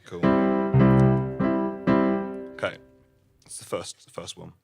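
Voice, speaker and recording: A man performing live musical stand-up on stage, talking in an awkward, understated, halting way. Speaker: musical comedian with keyboard. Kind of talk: live stand-up with spoken comic songs. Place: live stage before an audience. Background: keyboard music.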